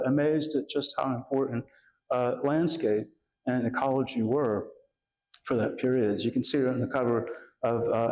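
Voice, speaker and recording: A man speaks at a middle pitch, his voice raspy from a lingering cough. He lectures to students in an unhurried, halting way, stopping often on "uh" as he moves through his slides. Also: darkish tone, poor audio. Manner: halting, many uh fillers. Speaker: guest lecturer. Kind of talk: academic lecture.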